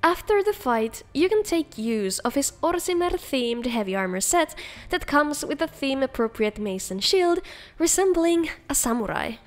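A woman's voice: high voice, big pitch swings, normal speed. A woman hosting a game mod showcase, reading upbeat scripted narration as a voice-over. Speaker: video host, game mod reviewer.

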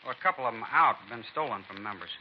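An actor playing an earnest, defensive young American hot rod club member in a 1950s radio drama, talking slangy and insistent. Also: male voice, mid-range voice, lively intonation, quick pace, lightly acted. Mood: wary. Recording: dull 1950s radio recording, faint noise.

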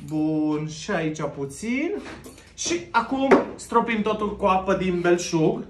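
A man's voice: high voice, lively intonation, background noise. A man in his thirties from Romania hosts a playful mock cooking show about plants, laughing often and drawing out gushing words while working with his hands. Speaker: host of a plant arranging show. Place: home room with plant wallpaper. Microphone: camera at a few feet. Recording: echoey room.

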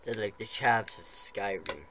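A man's voice: on the low side, unhurried, fairly bright tone. Joking young man at the TV. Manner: joking, playful, teasing. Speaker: gamer playing with a friend. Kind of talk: casual banter over gameplay. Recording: home video with faint background noise.

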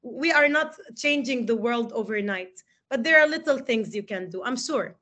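A woman's voice: a little high, lively intonation, moderate pace, fairly bright tone. A woman from Lebanon teaching English at university, giving a reflective webinar lecture full of rhetorical questions over a video call.

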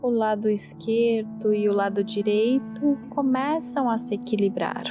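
Slow, higher-voiced Brazilian woman. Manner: calm, measured. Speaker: interpreter for a meditation guide. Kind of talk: guided meditation, consecutive interpreting. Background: meditation music.

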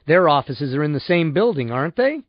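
A stubborn, sardonic man from a novel, played by a male narrator. He talks curtly and impatiently in a lively, fairly high voice. The recording is dry and slightly dull. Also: fairly quick, dry recording. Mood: blunt, determined. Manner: curt, sardonic. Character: blunt, stubborn male customer, wry and impatient.

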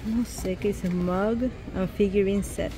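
A young woman chatting casually and excitedly to her phone camera while shopping and then eating out. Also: medium pitch, normal speed. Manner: chatty, excited, exclaims over cute things. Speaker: vlogger. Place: busy store and fast-food restaurant. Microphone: handheld phone camera. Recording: noisy public place ambience.

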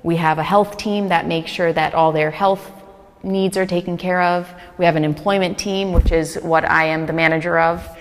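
A woman's voice: fairly low pitch, steady tone. Chatty woman in a reverberant gallery. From United States. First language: Hungarian. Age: 30s. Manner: earnest, chatty, quick laughs, many fillers. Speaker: refugee services manager. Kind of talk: interview answers. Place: large gallery room, indoors. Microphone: small lapel mic.

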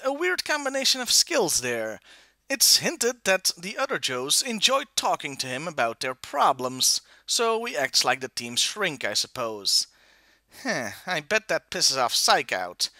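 A man narrates a scripted toy review with wry, opinionated delivery. His voice is bright and very lively in pitch, and the sound is dry and close. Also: no echo.

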